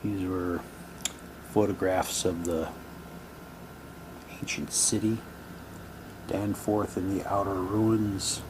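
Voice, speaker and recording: A man giving halting, low-key, wry commentary as he casually unboxes items and reads captions aloud. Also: little pitch movement, noisy background. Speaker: unboxing video host.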